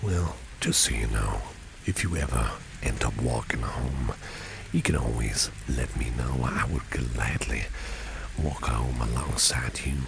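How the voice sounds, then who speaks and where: A man playing a suave, protective businessman boss with a menacing streak in an ASMR roleplay, speaking in a gravelly, intimate half-whisper with courteous insistence. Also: a bass voice, heavily acted. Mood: smooth, flirtatious. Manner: unhurried, courteous, flirtatiously insistent, repeats phrases. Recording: clear background noise with rain ambience.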